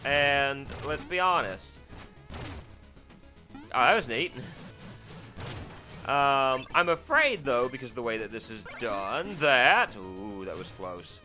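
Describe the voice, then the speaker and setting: Rambling gamer guy, bright tense voice. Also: sing-song, slow pace, long pauses. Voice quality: fairly deep voice. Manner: rambling, filler-heavy, self-deprecating; sudden startled exclamations while playing. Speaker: let's play host. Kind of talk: casual gameplay commentary. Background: faint game music.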